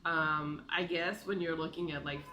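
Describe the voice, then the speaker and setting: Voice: medium pitch, fairly even intonation, moderate pace, pushed and bright, lo-fi sound, soft background music. A native Hebrew speaker aged 32 talking casually and candidly in a personal vlog, with self-deprecating, jokey asides. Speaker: video blogger.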